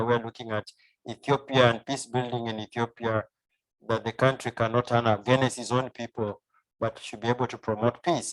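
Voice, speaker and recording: A man with a Kenyan accent speaks at a middle pitch as an academic guest in an interview. He explains things formally and methodically, point after point. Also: low-quality recording. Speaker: university professor, interview guest.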